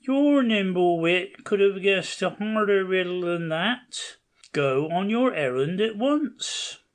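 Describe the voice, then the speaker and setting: A man's voice: high voice, expressive, slow. A man playing a commanding king of the gods, declaiming in a stately, formal way in an amateur audio play.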